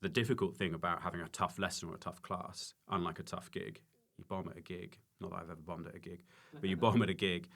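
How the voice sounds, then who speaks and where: A man talking casually as an interview guest, halting and self-correcting, repeating words for emphasis. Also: low voice, fairly even intonation, gravelly, a bit bright. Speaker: podcast guest, stand-up comedian and former teacher.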